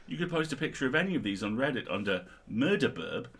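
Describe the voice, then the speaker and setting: Wry man reading aloud, slightly husky. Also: mid-range voice, very animated, quick pace, fairly bright tone. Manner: wry, playful, sweary asides. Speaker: livestream co-host reading aloud. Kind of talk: reading a humorous article aloud with casual asides.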